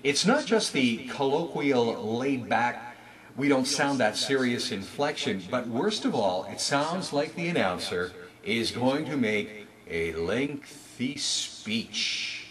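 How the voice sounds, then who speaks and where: A man presents like a trainer, with emphatic salesman delivery. His pitch swings widely in a very animated way, and there is clear crowd noise behind him. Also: slow. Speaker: store announcement trainer. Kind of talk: instructional talk with demonstrated announcement scripts.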